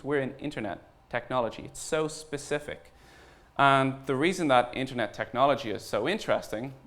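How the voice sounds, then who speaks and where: An Irish man speaking as a startup founder at a conference, informal and earnest, pausing deliberately for emphasis. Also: mid-range voice, a bit bright. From Ireland. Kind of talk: conference talk.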